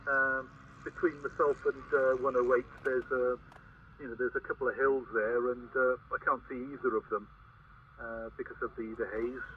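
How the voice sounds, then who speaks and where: A man's voice: mid-range voice, flat delivery, moderate pace, dull audio, slight background noise. A man operating CB radio, chatting casually and making calls in a hesitant, conversational way with radio phrases. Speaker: CB radio operator, net helper.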